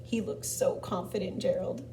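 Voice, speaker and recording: A woman hosting an interactive read-aloud for young children, narrating a storybook in an expressive, deliberate way. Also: mid-range voice. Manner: expressive, deliberate, pauses to ask questions. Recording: distant mic, background noise.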